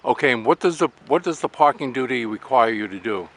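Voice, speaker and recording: Chatty older man interviewing, mid-pitched voice. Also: lively intonation, fairly quick, a bit bright. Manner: chatty, anecdotal. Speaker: amateur radio interviewer. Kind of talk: casual on-the-spot interview. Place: outdoors at a radio swap meet.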